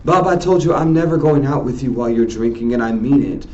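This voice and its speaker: A man's voice: medium pitch, fast, darkish tone, highs cut off, voice pushed up, natural voice. A man voicing an upset teenage girl from a novel, firm, pleading and emphatic. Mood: indignant. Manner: emphatic, insistent.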